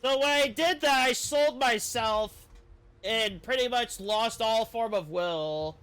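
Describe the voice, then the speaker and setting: A man's voice: very high-pitched, slow pace, a bit bright, clean tone. A man streaming a game, reading the story text aloud with casual commentary and the odd stumble over words.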